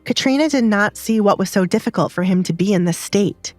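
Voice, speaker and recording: A woman's voice: medium pitch, lively intonation, brisk, no room sound, faint music behind. A woman narrator reading a scripted dramatized story.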